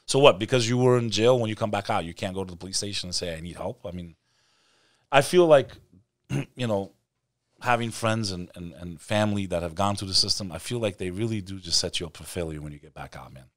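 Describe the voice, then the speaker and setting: Chummy low-voiced male host. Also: brisk, dry recording, clean studio sound. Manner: chummy, fired-up, calls the guest "brother" and "man". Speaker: podcast host. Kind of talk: casual interview chat.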